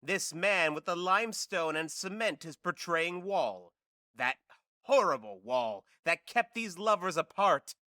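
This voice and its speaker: Earnest amateur stage narrator, acted by a man, overblown declamation, bright forceful voice, very dry recording, audio drama. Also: sits high, animated, a little slow, no room sound, full character voice. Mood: earnest, grandiose. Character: earnest amateur actor reciting a play's prologue. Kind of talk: theatrical audio drama recitation.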